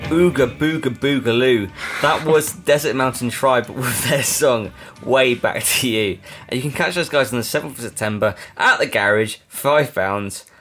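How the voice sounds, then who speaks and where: A man's voice: mid-range voice, normal speed, few pauses, a bit bright, faint music behind. A man co-hosting a radio show, jokey and teasing, often laughing and swearing. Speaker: radio co-host. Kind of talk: loose banter between music tracks.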